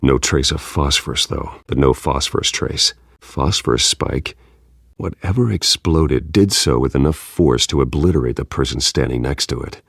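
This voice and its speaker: A man voicing a grim, controlled masked vigilante detective in a video game, speaking tersely and deliberately in a husky low growl. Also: no echo, clean studio sound, heavily acted. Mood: analytical. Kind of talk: video game voice acting, terse investigative lines.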